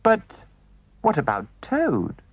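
A man's voice: mid-range voice, huge pitch swings, unhurried, vocal fry, breathy edge, lo-fi sound, exaggerated voice. A man voicing a timid male mole who talks in an anxious, fretful way, as part of a storybook reading.

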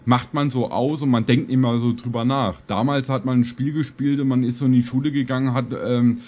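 A man's voice: medium pitch, fairly even intonation, brisk, darkish tone. Nostalgic, rambling male streamer. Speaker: reaction streamer. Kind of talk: casual chat to viewers. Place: small home streaming room.

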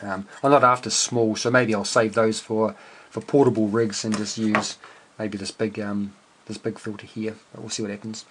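A man's voice: lowish voice, level tone, moderate pace, slight background noise. Middle-aged New man thinking aloud. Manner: hesitant, thinking aloud, understated. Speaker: amateur radio hobbyist and video host. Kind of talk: casual unscripted workbench explanation. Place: small indoor room at a cluttered desk workbench.

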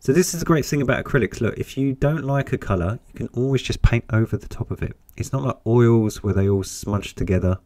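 A croaky, deep-voiced man talks through a painting lesson while he works, hoarse from a cold. He sounds chatty and encouraging. His voice sits at a middle pitch for a man, recorded dry and close. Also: fairly quick, hardly any pauses, warm tone, no room sound. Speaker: painting teacher. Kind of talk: step-by-step painting tutorial.

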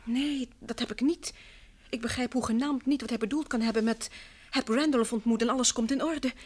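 A woman's voice: fairly high pitch, steady tone, moderate pace, darkish tone, natural voice. An actress playing a distraught, earnest wife in a vintage radio play, emphatic and sometimes hesitant as she insists. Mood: agitated, emphatic. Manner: earnest, emphatic, hesitant and repeating herself when upset. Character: distraught, insistent wife convinced her missing husband lives.

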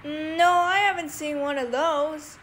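A woman's voice: high-pitched, fairly even intonation, takes it slowly, a bit bright, a little hiss or hum. A woman playing a polite, slightly bored call-in radio host in a video game.

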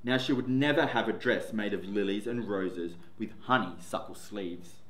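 A man's voice: lowish voice, a little hiss or hum. A man in his forties, a teacher, reading a picture book aloud to children, seated in a classroom. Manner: slow, expressive storytelling. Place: classroom, indoors. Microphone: camera in front, seated. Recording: echoey classroom.

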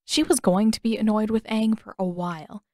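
A woman's voice: medium pitch, steady tone, fairly quick, dark tone, no room sound, studio quality. A woman reading a fan fiction story aloud as scripted audiobook narration. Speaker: podfic narrator and author.

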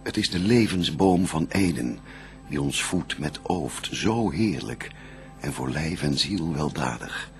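A man's voice: extremely low pitch, expressive, measured pace, soft background music, full character voice. An actor playing the first man, a reverent and grateful bridegroom, declaiming formal verse in a radio play. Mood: grateful, devout.

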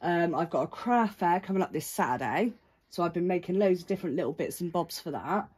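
Woman, low pitch, stuffy cold voice, chatty listing of prices, phone mic indoors. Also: lively intonation, a little fast, a little mellow, breathy edge. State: bunged up with a cold. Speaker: crafter showing her handmade stock. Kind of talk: casual show-and-tell vlog. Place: indoors at a craft table.

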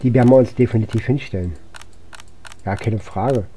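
A man's voice: mid-range voice, normal speed, dark tone. A man giving casual let's play commentary as host, musing aloud to himself as he goes. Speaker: gaming channel host.

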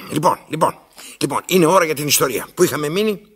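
An actor playing a pompous, cantankerous old master in a theatrical radio play, declaiming with halting repetitions. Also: male voice, a little high, fairly bright tone, husky. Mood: brooding.

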